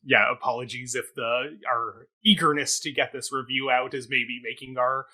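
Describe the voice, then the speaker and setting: Very bright-voiced, articulate man. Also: mid-range voice, fairly even intonation, moderate pace. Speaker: talk show co-host and theatre reviewer. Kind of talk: casual conversational review.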